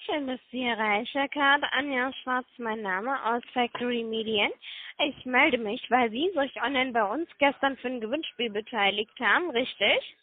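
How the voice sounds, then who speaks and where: A woman working as a telemarketer, speaking in a formal, brisk, scripted way on a sales call. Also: on the high side. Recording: dry narrow phone line.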